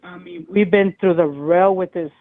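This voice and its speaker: A woman calls in over a tinny, thin phone connection, her loud voice pushing through a long, rambling, agitated story. The sound is dry and close, with no room around it. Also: on the low side, steady tone, moderate pace, dry recording. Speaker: caller. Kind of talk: call-in show phone call.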